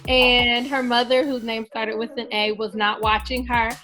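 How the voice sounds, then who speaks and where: A woman's voice: on the high side, little pitch movement, moderate pace, sharp tone. A woman taking part in a game on a video call, chatting casually and playfully. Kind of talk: casual playful group chat. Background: music in the background.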